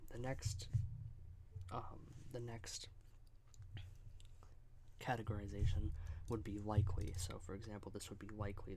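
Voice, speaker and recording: A man speaks softly and close to the mic in a low, even voice, with a flat tone that barely rises or falls. He pauses often and fills gaps with ums, sometimes dropping into a whisper. A faint hiss sits under the recording. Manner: soft, halting delivery with many fillers, drifting into whispers. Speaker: ASMR creator. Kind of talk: soft-spoken ASMR political commentary.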